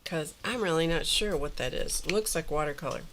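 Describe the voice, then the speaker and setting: A woman with a notably low voice talks casually while crafting, thinking aloud as she goes. Her voice sounds a little congested. A faint hiss sits under the recording. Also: a little fast, fairly bright tone. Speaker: livestream art host. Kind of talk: casual craft livestream chat. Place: home art studio.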